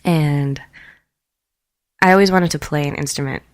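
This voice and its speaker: A woman's voice: deep voice, normal speed, no room sound, clean studio sound. A woman from Israel chatting casually and playfully as an interview guest, informal and a little self-deprecating. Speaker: interview guest, jazz bassist. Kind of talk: casual chat.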